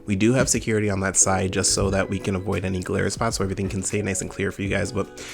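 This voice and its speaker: A man's voice: low voice, level tone, creaky, faint music behind. A young man hosting a card game channel, giving casual gameplay commentary with a slightly nasal voice from a summer cold. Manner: fast, upbeat, casual gamer talk.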